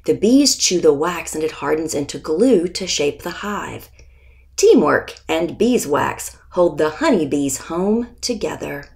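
A woman reading a children's picture book aloud as its author, deliberate and expressive, with pauses before key words. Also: low-pitched, vocal fry.

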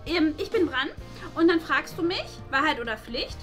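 A woman's voice: high-pitched, lively intonation, normal speed, over music. A woman playing a whiny, dramatic, easily embarrassed schoolgirl in a kids' comedy sketch. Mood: explaining. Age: young adult. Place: small classroom.

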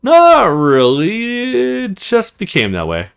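A man's voice: high voice, wildly swooping pitch, slow, gravelly, fairly bright tone, clear, resonant tone, voice pushed up, heavily acted. A man reading the part of an easygoing, eager young male student in a visual novel, casual and earnest. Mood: modest. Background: music.

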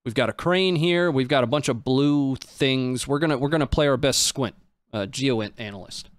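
A man's voice: medium pitch, moderate pace, no room sound. Man with a close, dry studio sound. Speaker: live stream host and analyst. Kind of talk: casual live commentary on footage. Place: small indoor studio. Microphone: close desk microphone on boom arm.

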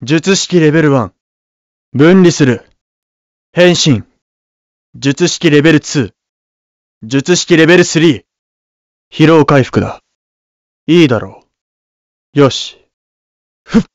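An actor voicing a proud, cool young surgeon who is an armored hero in a video game, speaking in curt, haughty, solemn declarations. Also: male voice, fairly high pitch, expressive, slow pace, pauses often, no room sound, dull audio. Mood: cool, resolute. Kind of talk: video game battle voice lines.

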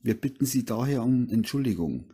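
A man with a dark, husky voice reads a stiff, mock-formal announcement. His delivery is deliberate and overly polite. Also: medium pitch, lively intonation.

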